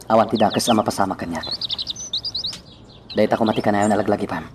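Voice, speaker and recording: A man's voice: lowish voice, fairly even intonation, fast, recorded from a distance, noisy background, natural voice. A man playing an earnest, reassuring sweetheart in a sentimental radio drama. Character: earnest, reassuring male sweetheart with risky plans.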